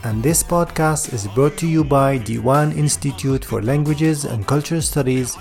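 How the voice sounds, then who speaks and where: Male podcast host, mid-pitched and creaky, scripted intro delivery over faint music. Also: moderate pace, darkish tone.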